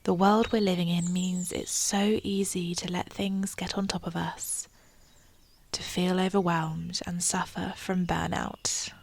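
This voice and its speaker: Woman, very slow pace, lowish pitch, breathy soft voice, calm meditation guide, soothing delivery. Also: no room sound. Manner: calm, soothing, measured with long pauses.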